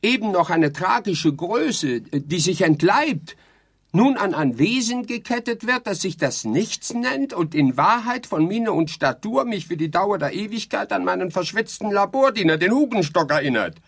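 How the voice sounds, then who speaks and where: An actor playing a haughty, exasperated dead sleep researcher in a theatrical radio play, declaiming and lecturing indignantly. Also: male voice, high voice, very animated, moderate pace, fairly bright tone, slightly rough, heavily acted.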